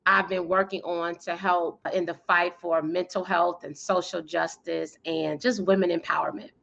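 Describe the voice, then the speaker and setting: A woman in her thirties or forties, guest in an interview, talking energetically and emphatically with a loud, powerful voice and frequent laughter. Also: fairly low pitch, fairly even intonation, normal speed, gravelly, muffled recording. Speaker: guest, PR firm founder and speaker.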